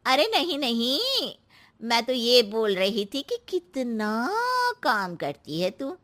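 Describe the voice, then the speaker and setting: A woman voicing a meddling middle-aged aunt in a family story, sly and sulky, with taunting, drawn-out sympathy. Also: fairly high pitch, sing-song, fairly bright tone, exaggerated voice. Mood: fake pity. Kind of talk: Hindi moral story voice acting.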